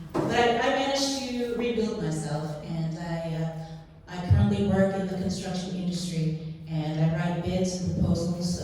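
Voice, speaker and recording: A woman in her fifties from Guam, a senatorial candidate giving a candid, lively forum speech. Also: on the low side. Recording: echoey hall from far off, faint noise.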